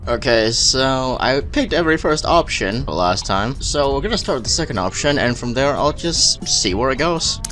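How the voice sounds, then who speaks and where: Bright-voiced, animated man gaming. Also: medium pitch, normal speed, few pauses. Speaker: gamer commentator. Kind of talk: casual gameplay reaction commentary. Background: faint game music.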